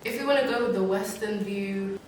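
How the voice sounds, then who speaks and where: A woman's voice: medium pitch, brisk. A woman in her late teens giving casual interview answers, emphatic, often saying "like" and "literally". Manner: animated, emphatic, heavy use of "like" and "literally". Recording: echoey room, mic far off, faint hiss.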